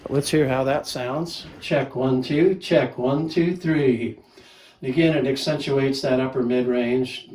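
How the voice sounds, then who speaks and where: A man's voice: medium pitch, unhurried, roomy sound, recorded from a distance. Laid-back man demoing a microphone. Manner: relaxed, plain-spoken, counting off mic tests. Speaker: gear reviewer. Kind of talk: casual product demo with mic checks.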